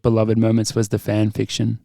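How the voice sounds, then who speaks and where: A man's voice: on the low side, completely flat delivery, moderate pace, vocal fry, warm tone, no echo, clean studio sound. A young man co-hosting a podcast, steering the talk in a casual, rambling way and laughing often. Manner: laughing, leading the segment, rambling. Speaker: podcast co-host.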